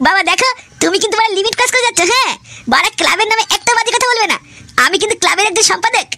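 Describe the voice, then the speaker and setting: A woman's voice: very high voice, racing, pushed and bright, dry recording, full character voice. A woman playing a foul-mouthed, argumentative idle young man in an exaggerated comic cartoon. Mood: defiant. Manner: crude, argumentative.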